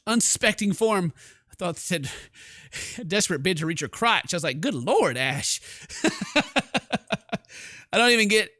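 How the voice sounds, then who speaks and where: A man doing jokey, theatrical let's play commentary, excitable and slangy, reading game text dramatically. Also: high-pitched, no room sound, studio quality. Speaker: gaming streamer. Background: game music.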